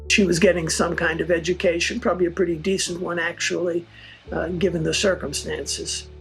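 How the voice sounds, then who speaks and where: A high-voiced man retells a story in a documentary interview, in a loose, conversational way with small hesitations. Soft music sits faintly behind his voice. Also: level tone, a little fast, vocal fry, low-quality recording.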